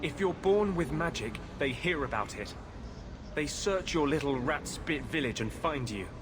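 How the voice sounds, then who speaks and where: A man playing a brooding, idealistic rebel mage in a video game, speaking earnestly and intensely with halting pauses. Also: a little high, expressive. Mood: impassioned, bitter. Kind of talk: dramatic video game dialogue. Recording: clearly noisy game audio background.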